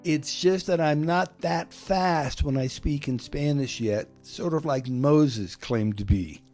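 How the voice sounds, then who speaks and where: A man, a pastor, speaking in measured phrases and pausing so his words can be interpreted. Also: fairly high pitch, a little mellow, faint music behind. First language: English. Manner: slow, measured, with pauses for interpretation. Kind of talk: bilingual sermon-style talk.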